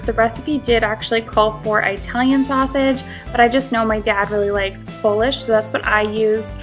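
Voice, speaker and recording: A woman's voice: a little high, a bit bright. A young woman giving a friendly, instructive voice-over for a home cooking tutorial. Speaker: cooking vlogger.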